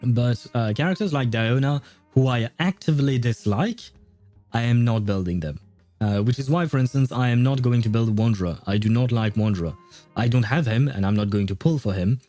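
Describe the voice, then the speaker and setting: A man's voice: mid-range voice, brisk, dark tone, faint music behind. A man livestreaming a video game, chatting casually with viewers in a conversational, meandering way.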